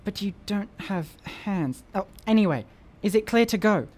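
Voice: medium pitch, lively intonation, normal speed, darkish tone, a little hiss or hum, close to an everyday voice. An actor playing an adventurous, determined boy hero, bossy and earnest, in a radio drama. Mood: bossy, impatient.

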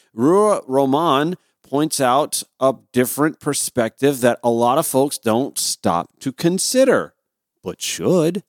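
A man's voice: medium pitch, dry recording, studio quality. A man from Georgia with an American accent, hosting a talk radio show in an emphatic, informal, conversational monologue. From Georgia, United States.

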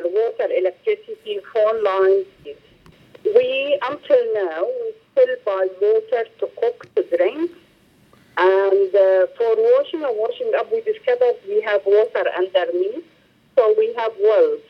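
A middle-aged woman from Lebanon, speaking English, gives a halting interview over the phone and often repeats words as she searches for phrasing. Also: medium pitch, husky. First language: Arabic. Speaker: director of a humanitarian organization. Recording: muffled phone line.

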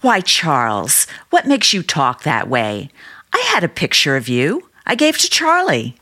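A woman's voice: deep voice, wildly swooping pitch, unhurried, sharp tone, full character voice. An actress playing a doting, trusting middle-aged sister and small-town mother in an old-time radio drama, chatty and earnest. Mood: fond insistence.

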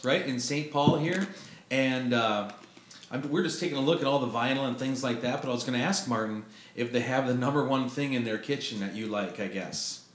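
A man hosting a music podcast, asking questions and teasing his guest in a friendly way. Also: medium pitch. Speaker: podcast host. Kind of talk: casual interview. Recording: roomy room, faint noise.

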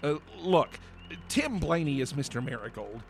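A man's voice: fairly high pitch, very animated, normal speed, gravelly, a little hiss or hum. A man playing an indignant, huffy friend and announcer in a comic radio play, theatrical and exasperated. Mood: grudging announcing. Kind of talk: comic radio play acting, credits reading.

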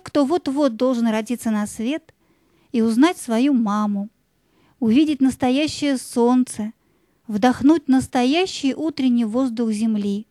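A woman narrating a scripted fairy tale with measured, expressive storytelling. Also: a little high, no room sound.

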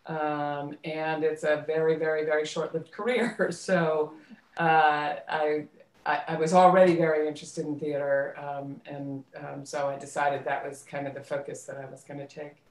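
A woman's voice: sits low, flat delivery, normal speed. An American woman telling her life story in a casual interview, reflective and often correcting herself as she goes. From United States, suburbs of Washington DC, then California. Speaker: interview guest, theater actress and director. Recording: roomy, echoey sound.

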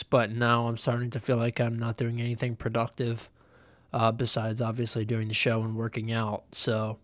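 Young male host, nasal head cold, rambling, middle-pitched, dry close recording. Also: dry recording. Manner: rambling, relaxed, with many ums and uhs. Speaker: podcast host. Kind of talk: casual solo podcast chat.

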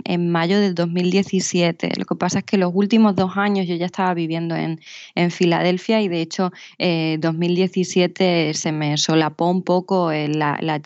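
A woman in her thirties from Spain with a southern Spanish accent, answering interview questions from home in a relaxed, reflective way. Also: fairly low pitch, no room sound, highs cut off. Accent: southern Spanish, Extremadura/Andalusia. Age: 30s. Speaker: guest writer.

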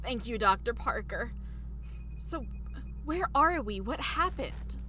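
Young female space crew member, injured, high voice, swooping intonation, halting, sci-fi audio drama. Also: animated, sharp tone, faint music behind, exaggerated voice. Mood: weak, confused.

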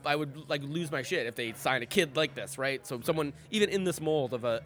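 A man's voice: on the high side, brisk, fairly bright tone, soft background music. A man co-hosting a sports podcast, rambling casually with lots of fillers, restarts and swearing. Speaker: podcast co-host.

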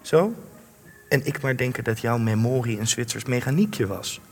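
A man's voice: mid-range voice, animated, normal speed, faint music behind, close to an everyday voice. An actor playing an indignant young male journalist in a radio play, articulate and reproachful. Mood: sardonic. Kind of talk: radio play acting in Dutch.

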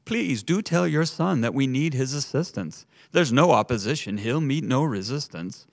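A man voicing a panicked chorus of waiters in a rhyming story, pushing his voice high and fast as they beg. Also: expressive, gravelly, dry recording, studio quality. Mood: panicked begging. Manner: frantic, begging.

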